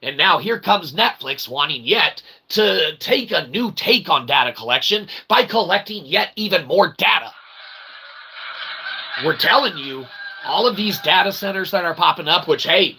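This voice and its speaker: A man's voice: fairly high pitch, lively intonation, normal speed, bright, forceful tone, slightly rough. A man hosting a livestream rant, profane, emphatic and repetitive, with wordy, mock-formal phrasing. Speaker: host of a livestream rant show.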